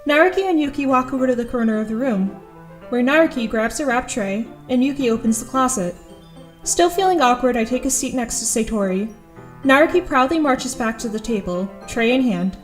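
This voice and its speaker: A woman's voice: fairly high pitch, lively intonation, fairly quick, soft background music, lightly acted. A woman playing an awkward, earnest teenage schoolgirl who narrates her thoughts in an audio drama, a little hesitant. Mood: curious, reflective.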